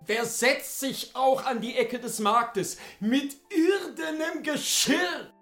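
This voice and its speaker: A man's voice: very high-pitched, takes it slowly, a bit bright, soft background music, voice pushed up, exaggerated voice. A man voicing a proud, stern king and husband in a fairy tale, speaking in a theatrical, admonishing manner. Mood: scolding. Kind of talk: fairy-tale audiobook character voice.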